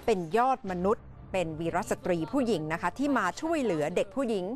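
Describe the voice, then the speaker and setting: A woman's voice: mid-range voice, expressive, racing, darkish tone, faint music behind. A Thai woman presenting a scripted television report as host and interviewer.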